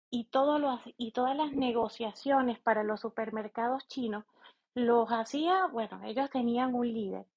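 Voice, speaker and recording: A woman with a Venezuelan accent, giving a reflective informal monologue as a podcast host in a halting, deliberate manner. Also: on the high side, a little mellow. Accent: Venezuelan Spanish. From eastern Venezuela. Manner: halting, deliberate, thinking aloud. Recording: slightly dull, lo-fi sound.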